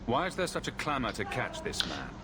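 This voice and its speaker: An actor playing a bold, businesslike adventurer who speaks directly in a fantasy video game. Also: male voice, medium pitch, big pitch swings, a little fast, bright, forceful tone, faint music behind. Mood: hard-nosed. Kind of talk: dramatic video game acting.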